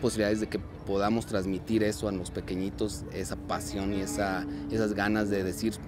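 A man in his thirties from Mexico, a dance and theater group director, speaking earnestly and at a measured pace in an outdoor interview, with frequent fillers and restarts. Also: lowish voice, little pitch movement. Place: outdoors at a street parade. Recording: outdoor clip with music behind. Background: festive music.

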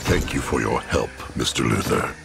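Very deep, gravelly gorilla warlord. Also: male voice, normal speed, full character voice. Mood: sinister politeness. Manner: menacing, formal, commanding. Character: huge, domineering male gorilla warlord. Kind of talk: animated video game acting.

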